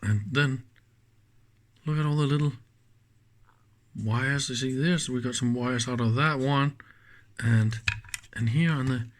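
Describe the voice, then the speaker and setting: A man with a mid-pitched voice talks slowly through a hands-on task. His commentary is casual and unscripted. He muses as he goes, halting and leaving thoughts unfinished. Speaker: electronics teardown host. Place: indoors at a workbench.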